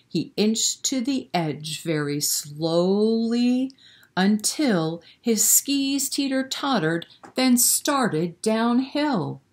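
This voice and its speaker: Female children's storyteller, mid-pitched and unhurried, expressive read-aloud delivery with explanatory asides. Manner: expressive, explains words and prompts young listeners.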